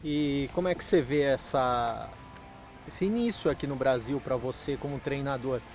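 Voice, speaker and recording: Guy interviewing a coach, normal pitch, restarts his question a couple times. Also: moderate pace, darkish tone, soft background music. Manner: rephrases mid-question. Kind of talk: asking a long interview question.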